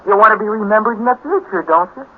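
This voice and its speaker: A man acting an earnest, excitable boy in a 1950s radio drama, speaking in an urgent, pleading, insistent way. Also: high-pitched, fast. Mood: determined. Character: earnest, excitable boy set on saving the world. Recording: noisy, narrow-band 1950s radio recording.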